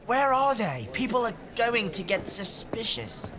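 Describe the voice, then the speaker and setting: An actor playing an earnest young street urchin. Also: male voice, high-pitched, sing-song, a little slow, noisy background. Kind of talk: audio drama acting.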